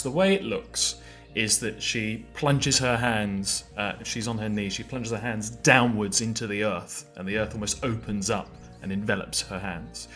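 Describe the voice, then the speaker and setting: A man's voice: fairly low pitch, a bit bright. A man playing a tabletop roleplaying game, describing his character's actions in dramatic third person. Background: faint background music.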